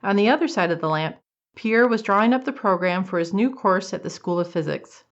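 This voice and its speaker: A woman's voice: medium pitch, lively intonation, brisk, highs cut off. A woman narrating a scripted old-time radio drama.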